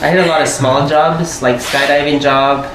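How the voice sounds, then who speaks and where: A man's voice: fairly high pitch, moderate pace. American man chatting in an echoey room. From United States. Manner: friendly, rambling, laughs often. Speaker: guest, airline pilot. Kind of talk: casual conversation. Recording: echoey room with steady background noise.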